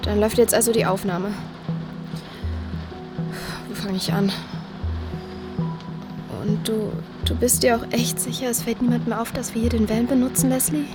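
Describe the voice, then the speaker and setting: Eine Schauspielerin spielt in einem Hörspiel eine junge, unsichere, lockere Frau, die umgangssprachlich mit englischen Einsprengseln redet. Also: female voice, fairly high pitch, fairly even intonation, normal speed, pauses often, over loud music, close to an everyday voice. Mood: nervous, hesitant. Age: young adult. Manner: colloquial youth slang with English bits. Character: Daya, young woman, anxious, self-doubting, casual, caring. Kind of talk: naturalistic audio drama acting.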